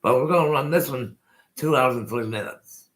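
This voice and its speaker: A man's voice: medium pitch, normal speed. Rambling older man with unclear speech. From Colorado, USA. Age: 60s. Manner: rambling, repeats himself, restarts often. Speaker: host. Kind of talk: casual live-stream chat.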